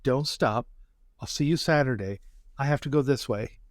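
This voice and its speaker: Male gamer, medium pitch, casual in-the-moment roleplay talk, very dry sound. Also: normal speed, dry recording. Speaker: player in a tabletop roleplaying game. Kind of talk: casual improvised game talk.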